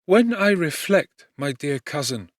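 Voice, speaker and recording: A male narrator voicing a gentle, grieving young woman in an audiobook, pleading and earnest. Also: on the high side, big pitch swings, measured pace, no room sound, voice pushed up. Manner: pleading, earnest, softly lifted voice. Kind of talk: scripted audiobook reading. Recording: dry close studio.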